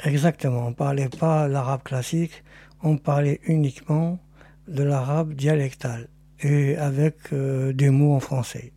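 Older guy in his 60s, husky mellow voice, calm and reflective. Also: mid-range voice, a little slow. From Algeria. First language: Algerian Arabic. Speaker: interviewee in a podcast. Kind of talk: recorded family interview.

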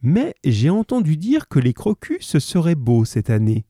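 A man voicing a calm, ironic detective in a read-aloud story, smooth and mocking. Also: on the high side, measured pace, dry recording, studio quality, voice pushed up, heavily acted. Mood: amused.

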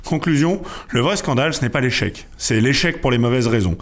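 A man's voice: mid-range voice, lively intonation, brisk. A man reading a scripted radio column in terse, clipped phrases. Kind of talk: scripted commentary.

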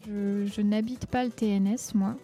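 A young woman speaks in a very even, mellow voice, halting as she looks inward. It is a personal testimony with faint music behind it. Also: medium pitch. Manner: halting, introspective. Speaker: participant in a theatre occupation.

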